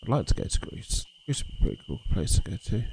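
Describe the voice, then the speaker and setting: A man with a gravelly, low voice chats easily to his viewers while streaming a fishing game. His tone is mellow and rambling, and a faint outdoor hum sits under his voice. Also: fast talker, poor audio. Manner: relaxed, rambling, talks to chat and reads messages aloud. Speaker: livestream host.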